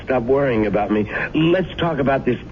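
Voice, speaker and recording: A very noisy, muffled old radio drama recording of an actor as a young male boarder. He has a mid-pitched voice and a halting, placating manner, sly beneath the polite concern. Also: lively intonation, close to an everyday voice. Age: young adult. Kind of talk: 1970s radio drama acting.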